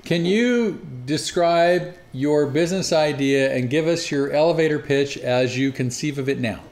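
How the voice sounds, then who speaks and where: A man's voice: a little high, measured pace, darkish tone. A man co-hosting a podcast, chatting casually and talking up the show. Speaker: podcast co-host. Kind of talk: casual chat.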